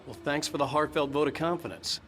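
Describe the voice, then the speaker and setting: An actor playing a terse, dry-witted writer in a video game cutscene. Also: male voice, a little high, a little fast, a bit bright, lightly acted. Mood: dry, irritated. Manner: dry, sardonic.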